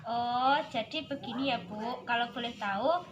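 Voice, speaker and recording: A woman's voice: on the high side, moderate pace, dull audio, crowd noise. A young woman, speaking politely and formally as a nutritionist in a rehearsed counselling dialogue. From Indonesia. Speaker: nutritionist in a counselling role-play. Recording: echoey room, distant mic, clear background noise.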